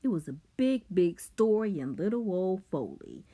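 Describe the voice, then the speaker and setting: A woman's voice: on the low side, huge pitch swings, moderate pace, dark tone, breathy edge, pitched above the performer's own voice, full character voice. A woman voicing a clever, scheming teenage boy who talks back coldly. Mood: defiant.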